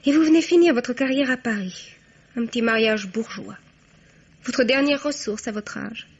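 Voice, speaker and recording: A young woman blackmailer in a radio crime play. Her voice is fairly high, chatty and full of sly, mock-innocent taunts. The sound is dull and band-limited. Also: normal speed, husky. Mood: taunting, insinuating. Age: young adult. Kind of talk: French radio crime drama acting.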